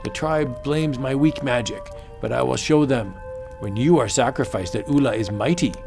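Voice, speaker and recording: Guy doing a commanding witch doctor, solemn ritual talk, bit gravelly, music under it. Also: medium pitch, normal speed, natural voice. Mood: boastful, solemn. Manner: declamatory, stilted ritual speech. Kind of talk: comic book audiobook acting.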